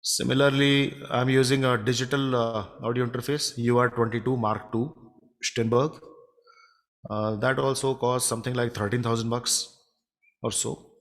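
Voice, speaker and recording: A man with a baritone voice from India, giving a halting live demonstration of audio mixing in his home studio, recorded on a condenser microphone from a distance. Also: fairly even intonation. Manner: hesitant, many fillers and restarts. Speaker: music studio instructor.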